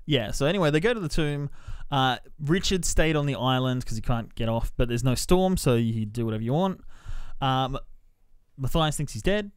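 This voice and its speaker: A man with a clear, mid-pitched voice hosts a casual podcast review. He swears freely and slips into mimicked dialogue while retelling things. The sound is dry, with no room around him. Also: animated, moderate pace, dry recording. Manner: sweary, mimics characters in quotes. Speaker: podcast host reviewing films. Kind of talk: casual spoiler-filled movie review chat.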